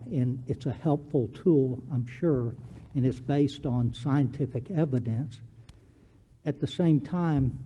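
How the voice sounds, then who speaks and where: A husky man from the audience poses a long question to a panel, speaking courteously but haltingly and repeating his words. His pitch is average for a man. The sound is dull and lo-fi. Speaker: audience member asking a question. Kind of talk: question from the floor.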